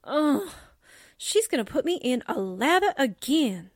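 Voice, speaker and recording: Lady doing a plucky 40s radio secretary gal, high voice, takes her time, very theatrical. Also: sing-song, measured pace, slight background noise. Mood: puzzled. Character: plucky, suspicious young female newspaper secretary. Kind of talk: 1940s radio drama acting.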